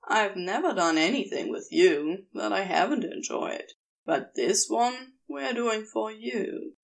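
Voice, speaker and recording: A woman voicing a patient, protective, devoted man in a story, speaking with firm, gruff tenderness. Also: medium pitch, animated, slow, full character voice. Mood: patient, sincere. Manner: firm, reassuring, intimate.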